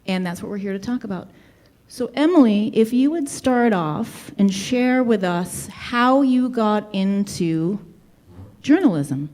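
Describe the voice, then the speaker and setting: A woman's voice: mid-range voice, animated, unhurried, a little mellow. Chatty Boston woman hosting live. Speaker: podcast host. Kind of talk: live podcast show, casual talk. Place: brewery, live audience.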